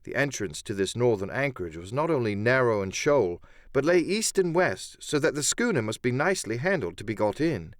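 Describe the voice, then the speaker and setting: Animated man narrating an adventure audiobook. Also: mid-range voice, a little fast, dry recording, studio quality. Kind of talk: dramatic scripted first-person narration.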